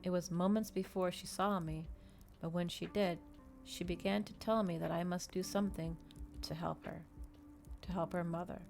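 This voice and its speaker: A woman reading a story aloud in ASMR style, with a measured, dramatic delivery in short phrases. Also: fairly low pitch, fairly even intonation, a little mellow, soft background music. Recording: clear background ambient noise.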